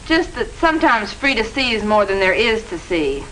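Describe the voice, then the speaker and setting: A woman's voice: mid-range voice, sing-song, normal speed, sharp tone. An actress playing a sensible, reluctant schoolgirl who chairs a club in an old educational film. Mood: sceptical. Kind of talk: 1950s educational film acting. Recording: distant, noisy 1950s film soundtrack.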